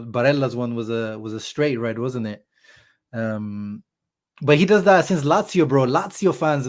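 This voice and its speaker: A young man with a sore throat hosting a live football reaction stream, chatting casually and answering viewers' comments. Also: medium pitch. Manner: animated, chatty, says "bro" and "like" a lot. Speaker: football fan channel host. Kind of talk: live-stream match reaction, reading chat.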